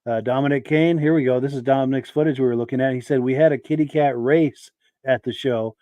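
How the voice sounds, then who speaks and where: A man hosting a podcast in a relaxed, chatty way, reading out viewer comments while his voice is hoarse from a sore throat. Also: mid-range voice, a little fast, dry recording. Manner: relaxed, chatty, affirming with yeahs. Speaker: podcast host.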